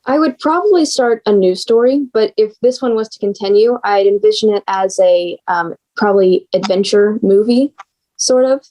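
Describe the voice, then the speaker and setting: A woman's voice: medium pitch, moderate pace, mellow, rough recording. A teenage girl, a young scriptwriter, giving casual answers in an interview. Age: teens.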